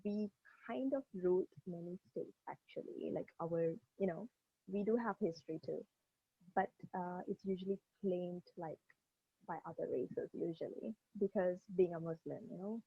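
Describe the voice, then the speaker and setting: Woman, young adult, interviewer, gravelly and husky, dry muffled call audio. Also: fairly low pitch, moderate pace, dry recording. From Malaysia. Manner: effusive, many fillers like "like, you know". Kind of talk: casual interview over a video call.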